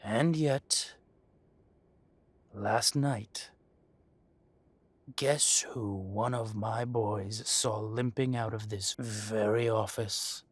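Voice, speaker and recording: A man acts out an arrogant dragon crime boss with a low voice and very slow, deliberate delivery. He sounds civil on the surface and threatening underneath, like a villain in an audio drama, recorded close and dry. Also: steady tone, no echo. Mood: coolly menacing. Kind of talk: scripted roleplay audio drama monologue.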